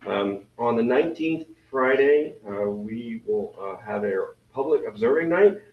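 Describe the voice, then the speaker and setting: A man with a deep voice making rambling, self-deprecating announcements at a club meeting. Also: expressive, unhurried, slight background noise. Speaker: event committee member. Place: meeting room with a crowd. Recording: roomy, distant, dull meeting recording.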